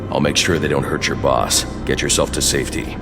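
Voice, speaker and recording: Super low grim vigilante hero, short commanding lines, loud music behind. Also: male voice, steady tone, fairly quick. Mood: stern. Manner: terse, commanding, gravelly menace. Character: grim masked male vigilante crimefighter, stern and intimidating. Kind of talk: video game voice acting.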